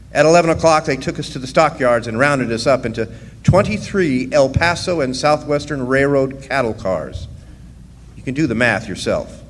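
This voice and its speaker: A man's voice: mid-range voice, natural voice. A man playing a plainspoken striking miner who narrates his own story in a radio drama. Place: live stage performance in a hall. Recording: long hall reverb, faint noise.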